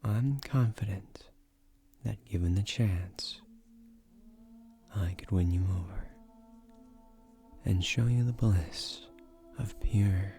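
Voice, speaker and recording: A man voicing an ancient, gentle guardian of a magic tree in a romantic fantasy roleplay, speaking softly and breathily in formal, earnest, persuasive words with soft hesitations. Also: lowish voice, slow, long pauses, faint music behind. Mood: courting, confident.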